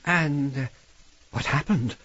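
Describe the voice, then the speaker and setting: An actor playing a nervous, deferential employee in a radio drama, polite and hesitant. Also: male voice, medium pitch, slow pace, fairly bright tone, lo-fi sound. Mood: uneasy. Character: deferential, nervous male employee eager to please.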